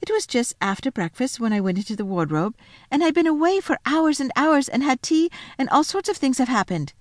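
A woman voicing an earnest, kind-hearted, excitable little girl in a children's storybook, speaking eagerly and emphatically. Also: medium pitch, fast talker, no room sound, studio quality, natural voice. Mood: excited, insistent. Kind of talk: children's storybook read aloud.

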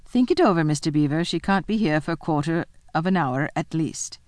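A woman voicing a motherly, bossy female beaver in a storybook, homely, brisk and coolly practical. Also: deep voice, darkish tone, no room sound, studio quality, lightly acted.

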